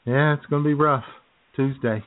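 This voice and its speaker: A man co-hosting a radio show, trading sardonic political jokes in a halting, casual way. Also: medium pitch, fairly even intonation. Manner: sardonic, jokey, halting with fillers. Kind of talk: political comedy chat. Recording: phone-like lo-fi sound.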